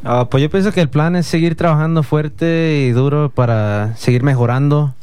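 Mellow young man, earnest answers. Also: fairly high pitch, moderate pace, smooth. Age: 20s. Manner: earnest and grateful, steady. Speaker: band member, guest. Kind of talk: casual interview answers.

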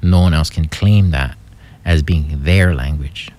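A man's voice: very deep voice, measured pace, a little mellow. A man from Hawaii, thinking aloud in a casual explanatory monologue, hesitant, with many fillers and restarts.

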